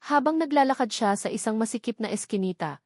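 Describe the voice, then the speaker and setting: A woman narrating a scripted love story in a measured, descriptive storytelling manner. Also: fairly high pitch, lively intonation, no echo, clean studio sound.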